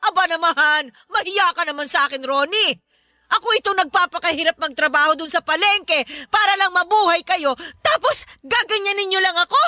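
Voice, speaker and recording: Woman acting a shrill, scolding mother. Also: a little fast, bright, forceful tone, no room sound, studio quality. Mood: exasperated, berating. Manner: nagging, berating, exclamatory. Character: scolding, short-tempered working-class mother of grown sons. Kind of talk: Tagalog radio drama acting.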